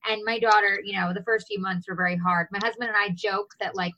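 A woman's voice: mid-range voice, steady tone, fast talker, pushed and bright, rough recording. A woman in her thirties hosting an interview show, gushing and chatty with lots of "you know" and "like". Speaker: show host. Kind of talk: casual interview chat.

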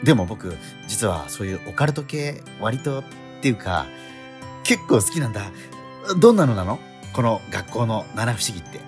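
A man plays a curious teenage boy, new at school, in a radio drama. He is polite and quick to apologise, with puzzled little interjections. His pitch moves about a lot, and music plays faintly behind him. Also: big pitch swings. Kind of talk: conversational radio drama acting.

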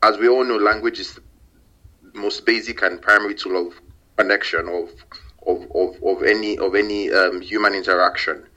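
Low-voiced man, startup founder in interview, halting with fillers, dull lo-fi audio. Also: fairly even intonation, sharp tone. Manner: hesitant, many fillers and repeated words. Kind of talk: casual interview.

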